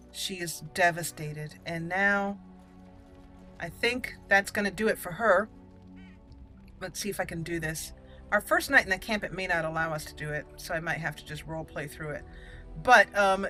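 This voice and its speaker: A woman's voice: lowish voice, sharp tone. A woman gaming host giving roleplay commentary, slipping between character lines and casual asides.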